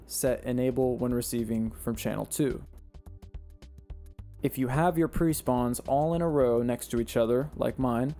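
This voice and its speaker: Slightly hoarse man reading game settings. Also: medium pitch. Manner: steady instructional, reading settings one by one. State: slightly hoarse, losing his voice. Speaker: game tutorial creator. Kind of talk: step-by-step tutorial voice-over.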